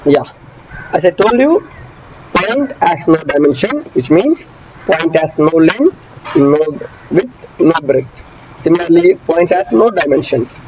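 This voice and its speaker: A man giving an online engineering lecture, repeating key phrases slowly and often asking students if they follow. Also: on the high side. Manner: asks students frequent check questions. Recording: dull muffled audio with traffic noise behind.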